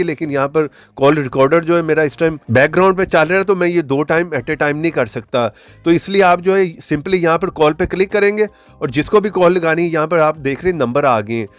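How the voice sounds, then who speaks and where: A man hosting a how-to tutorial, explaining an app step by step with repeated stock filler phrases. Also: on the high side. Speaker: tutorial channel host. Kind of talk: scripted-sounding how-to app tutorial narration.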